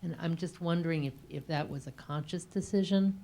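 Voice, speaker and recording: A woman's voice: sits low, brisk, warm tone. A woman in the audience at a book talk asks a reflective question, searching tentatively for her words. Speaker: audience member asking a question.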